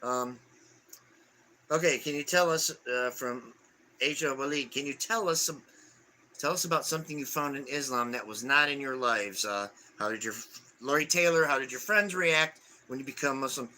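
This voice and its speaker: A man in his forties chatting on a live stream, his voice bright and forward. He reads viewer questions aloud and answers them haltingly, padding with fillers. A faint hum of ambient noise sits under the voice. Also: mid-range voice. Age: 40s. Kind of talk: casual live stream chat.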